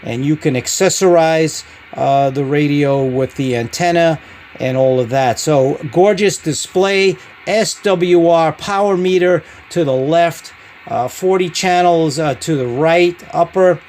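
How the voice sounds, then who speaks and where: A man hosting a podcast-style product review, speaking in short, halting phrases. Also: fairly high pitch, darkish tone, slight background noise. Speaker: podcast host reviewing CB radios.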